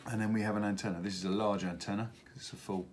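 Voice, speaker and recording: A middle-aged man giving an unscripted product unboxing walkthrough, speaking haltingly with many hesitations. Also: fairly low pitch. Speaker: product presenter for a radio retailer. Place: indoors at a wooden table in an office. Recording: steady background room noise.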